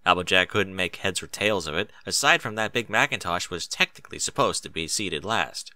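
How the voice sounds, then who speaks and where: A man reading a story aloud as an expressive narrator. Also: low voice, a little fast, dry recording. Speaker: narrator of a fan fiction reading.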